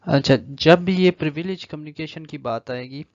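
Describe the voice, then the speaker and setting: A man with a Pakistani accent teaches in a slightly high-pitched voice. He lectures in a deliberate, explanatory way, moving between reading formal clauses and explaining them. The recording is very dry, with a somewhat dull, lo-fi sound. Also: no echo. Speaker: law lecturer.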